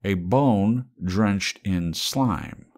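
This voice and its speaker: A man's voice: on the low side, very slow, darkish tone. A man reading a work of fiction aloud as an audiobook narrator.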